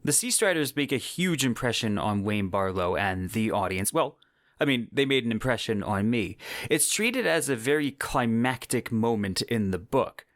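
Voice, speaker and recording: A man narrating a podcast as host in an expressive, descriptive, enthusiastic way. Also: medium pitch, moderate pace, no echo, clean studio sound. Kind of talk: podcast narration about science fiction.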